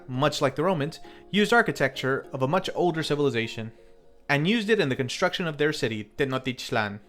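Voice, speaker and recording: A man's voice: fairly high pitch, a bit bright. A man hosting a review video, reading scripted narration in a conversational way and addressing viewers directly. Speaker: host of a film review channel. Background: music under the voice.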